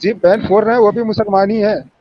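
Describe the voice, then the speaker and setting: A man's voice: high-pitched, fairly even intonation, brisk, slight background noise. A man pressing his argument in an online debate, insistent and quoting scripture. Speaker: debate panelist. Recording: dull lo-fi line.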